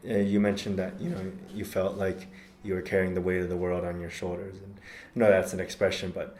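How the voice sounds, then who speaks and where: A man from the United States talking in a relaxed podcast conversation, thoughtful and measured, often pausing with ums and correcting himself. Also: low-pitched. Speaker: podcast guest. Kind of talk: casual conversation. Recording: roomy echo.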